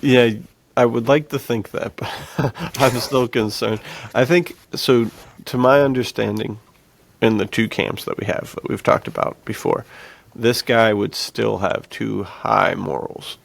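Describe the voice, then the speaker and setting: Very creaky-voiced man chatting casually. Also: mid-range voice, fairly even intonation, a little slow. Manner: laid-back, jokey, informal slang. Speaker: podcast co-host. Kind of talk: casual film discussion.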